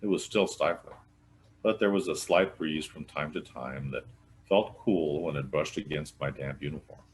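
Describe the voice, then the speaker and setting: A man with an extremely low voice reads a mystery story aloud. He narrates from a written text as a volunteer reader. The recording has clear background noise. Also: normal speed, rough recording. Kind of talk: scripted read-aloud of a mystery novel.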